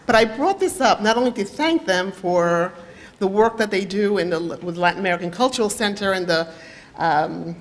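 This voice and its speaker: A woman's voice: mid-range voice, normal speed. Dominican-born woman giving a rambling speech. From Dominican Republic. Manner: warm, rambling, mixes in Spanish. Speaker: judge and honoree speaking at a ceremony. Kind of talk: off-the-cuff ceremony speech.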